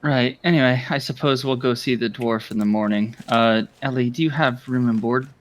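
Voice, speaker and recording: A man's voice: mid-range voice, fairly even intonation, moderate pace. A man playing a tabletop game, matter-of-fact and easygoing. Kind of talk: casual play.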